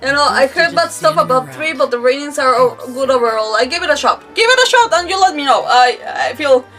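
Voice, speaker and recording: A woman with a very high voice chats casually as she streams a game. She rambles, restarts her thoughts and giggles easily. Music plays behind her, and the voice sits a little away from the mic. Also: expressive, fairly quick, pushed and bright, recorded from a distance. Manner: rambling, self-interrupting, giggly. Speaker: livestreamer, gamer host. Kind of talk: casual livestream chat.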